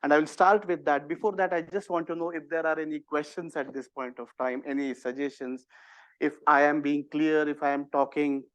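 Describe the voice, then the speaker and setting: A man's voice: on the high side, steady tone, a little fast, no room sound, poor audio. A man with an Indian accent teaching a workshop, explaining in a conversational way with many fillers and restarts. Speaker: workshop instructor. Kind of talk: lecturing to a workshop audience.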